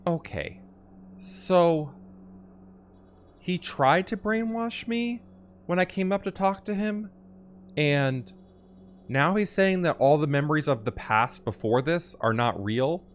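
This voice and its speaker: A man giving casual reaction commentary as he plays a video game, puzzled and thinking out loud. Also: fairly high pitch, expressive, slow pace, pauses often, slight background noise. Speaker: gamer, channel host. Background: game music and dialogue.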